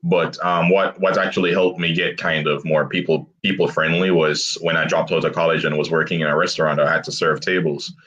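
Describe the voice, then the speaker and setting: A young man guest telling his story in a relaxed interview, with halting restarts and frequent laughter. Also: extremely low pitch. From abroad, grew up outside the US. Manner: laughs often, self-deprecating, halting restarts. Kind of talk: casual interview chat. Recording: dull lo-fi online call audio.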